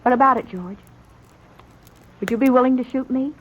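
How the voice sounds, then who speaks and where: Actress, defiant frontier woman, American, bitter, dramatic, highish voice, radio western, dull recording with faint noise. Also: moderate pace, natural voice. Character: resolute frontier woman defying her brother. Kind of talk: 1950s radio western acting. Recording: muffled, noisy old radio recording.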